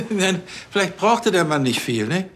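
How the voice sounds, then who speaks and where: A man's voice: high voice, sing-song, measured pace, a bit bright, heavily acted. An actor playing a self-important, bumbling policeman who stammers and gets huffy. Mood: huffy, amused.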